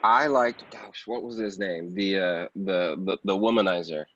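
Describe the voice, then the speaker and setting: A man's voice: low-pitched, normal speed, fairly bright tone. A man chatting casually and easily as an interview guest who works in acting and film. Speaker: guest actor and filmmaker. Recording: dull, roomy lo-fi audio.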